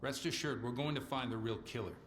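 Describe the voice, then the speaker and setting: A man playing a hard-nosed armed faction commander in a video game, measured and stern. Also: medium pitch, fairly bright tone, a little hiss or hum, lightly acted. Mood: stern, menacing. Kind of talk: video game character dialogue.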